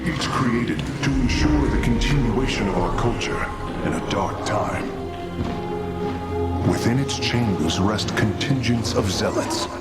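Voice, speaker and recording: A man's voice: lowish voice, expressive. An actor playing an earnest, dutiful male engineer who speaks formally and respectfully in a video game. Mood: reflective. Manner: formal, measured, respectful reporting. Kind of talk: video game dialogue and narration. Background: game music.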